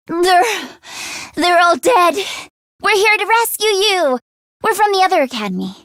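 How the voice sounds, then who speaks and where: A woman voicing an anxious, earnest, tech-minded teenage girl fighter in a video game, with a hesitant, expressive delivery. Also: very high-pitched, measured pace, no room sound, studio quality, heavily acted. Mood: anxious, worried, then eager, excited. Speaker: voice actress.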